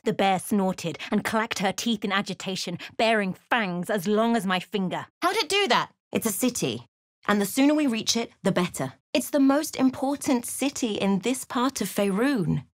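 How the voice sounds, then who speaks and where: A woman playing a wry, watchful adventurer in a video game, telling of events in a measured, dramatic way. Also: mid-range voice, no echo, clean studio sound, natural voice. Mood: sharp, wry. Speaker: game character voice actor. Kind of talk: video game voice lines, narration and barks.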